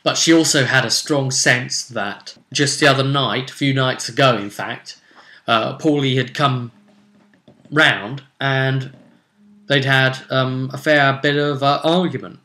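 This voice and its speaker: A man's voice: mid-range voice, fairly even intonation, measured pace, sharp tone. A man casually recapping a tabletop role-play session as game master, unscripted and conversational, wandering off into asides. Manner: rambling, conversational, with many asides.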